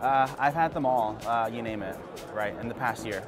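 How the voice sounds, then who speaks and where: A man's voice: medium pitch, moderate pace, over music. A man being interviewed as an investor, answering casually with many fillers and a boastful tone. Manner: hesitant, frequent fillers, boastful.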